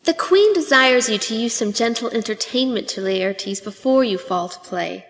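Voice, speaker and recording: A woman's voice: on the high side, lively intonation, normal speed, fairly bright tone. Reverberant, dutiful courtly messenger played by a woman. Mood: polite, formal. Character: polite, dutiful courtier messenger bringing royal word. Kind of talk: dramatic reading of Shakespeare. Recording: long reverberant echo.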